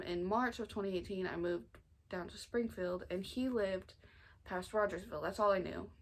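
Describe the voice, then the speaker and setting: A young American woman of about nineteen, talking informally and earnestly in a halting way, as in a personal storytime vlog. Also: mid-range voice, gravelly. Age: 19, nearly 20. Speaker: family vlogger. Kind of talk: personal storytime vlog, casual confessional talk.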